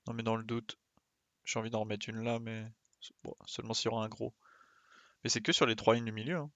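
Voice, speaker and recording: A man's voice: on the low side, level tone, fast talker, fairly bright tone, dry recording. A man giving casual live commentary as he plays a game, muttering short interjections as he thinks aloud. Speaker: game streamer.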